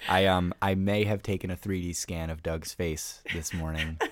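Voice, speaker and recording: Deep-voiced man with wry humor. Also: fairly even intonation, moderate pace. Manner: wry, laughs at own jokes. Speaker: podcast guest. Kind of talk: casual podcast chat.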